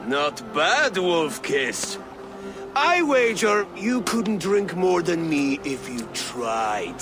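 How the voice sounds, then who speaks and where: A boastful Viking warrior at a feast, hearty and fairly high for a man. He takes his time over his words in a game cutscene, with music clearly behind him. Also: big pitch swings, extremely slow, full character voice. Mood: merry. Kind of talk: video game cutscene acting.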